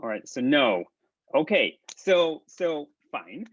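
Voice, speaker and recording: A man from Israel with an Israeli accent, giving a hesitant, halting talk full of restarts on a video call. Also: fairly high pitch, sing-song, no room sound. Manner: halting, many restarts and ums. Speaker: presenter. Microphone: laptop mic on video call. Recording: dull lo-fi video call audio.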